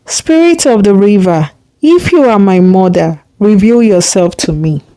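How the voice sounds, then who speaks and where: A woman's voice: medium pitch, lively intonation, a little slow, mellow, no echo, close to an everyday voice, poor audio. A woman voicing a brave but anxious young village girl in a storybook folktale, earnest and pleading. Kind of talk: storybook character reading.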